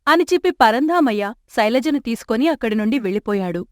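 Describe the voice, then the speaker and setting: A woman's voice: fairly high pitch, animated, brisk, no room sound. Woman narrating a storybook. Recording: dry close studio mic.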